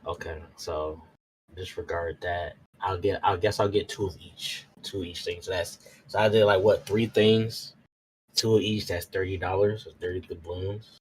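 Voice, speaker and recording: A man with a low voice chats casually as a player in a tabletop game. He is hesitant and thinks out loud, over faint background noise. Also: fairly even intonation, moderate pace, poor audio. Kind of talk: casual chat during a game.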